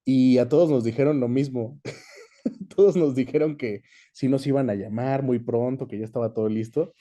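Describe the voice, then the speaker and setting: A young man with a Mexican accent answering questions in a casual interview. His voice is very mellow and medium in pitch, and he talks in a chatty, easygoing way. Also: moderate pace. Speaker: guest, voice actor.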